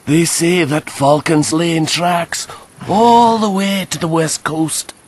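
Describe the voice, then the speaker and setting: A man's voice: a little high, slow, a little hiss or hum. An actor playing a nosy, chatty old man in a video game, speaking in an inquisitive, gossipy manner. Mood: prying. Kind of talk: video game cutscene acting.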